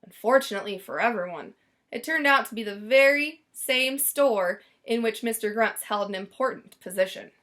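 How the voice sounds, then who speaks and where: A woman's voice: fairly high pitch, lively intonation, normal speed, a bit bright. A woman reading a children's story aloud expressively, stretching and stressing words for drama. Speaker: storybook reader.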